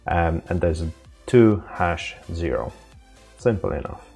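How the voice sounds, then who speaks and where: A man presenting an informal, unscripted software tutorial, explaining step by step in a halting way. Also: low-pitched, animated, gravelly, husky, soft background music.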